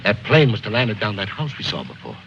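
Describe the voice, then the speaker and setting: Blunt soldier, muffled noisy recording. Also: male voice, fairly low pitch, huge pitch swings, a little fast, creaky, heavily acted. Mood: alert. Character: blunt, down-to-earth male soldier. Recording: noisy, muffled old film soundtrack.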